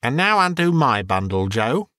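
A man voicing a coarse, greedy old charwoman who mocks the dead in brash, quick bursts. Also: medium pitch, big pitch swings, sharp tone, no room sound, studio quality. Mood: scornful.

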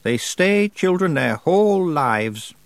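A man's voice: a little high, very animated, creaky, no room sound, voice pushed up, heavily acted. Man voicing a stern ancient witch. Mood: scornful. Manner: slow, drawn-out, lofty delivery. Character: ancient stern female witch, sly and dry-humored.

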